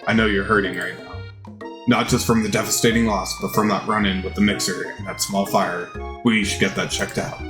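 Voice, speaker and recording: A wise, self-assured older gentleman chef from a dating game , acted by a man. The voice is dropped low, gentle and measured, with soft music underneath. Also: steady tone, natural voice. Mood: calm, wise. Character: smooth, wise, confident older male chef mentor.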